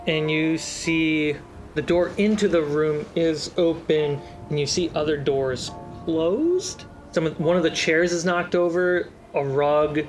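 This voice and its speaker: A game master narrating a tabletop adventure, dwelling on each detail at an unhurried pace. The voice sits at an ordinary pitch. Soft music plays underneath. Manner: slow, deliberate scene description. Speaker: game master and channel host. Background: background music score.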